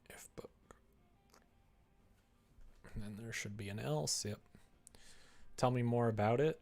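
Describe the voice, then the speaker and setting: A man's voice: medium pitch, slow, creaky, a little mellow. A man livestreaming his development work, thinking aloud casually and muttering to himself in short fragments. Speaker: livestreamer developing a visual novel.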